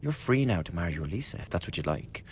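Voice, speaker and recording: An actor playing a glib, scheming young revolutionary in a radio drama, chatty and insinuating with a blackly flippant manner. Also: male voice, sits low, big pitch swings, fast, a little hiss or hum. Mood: coolly calculating. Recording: studio radio drama with background ambience.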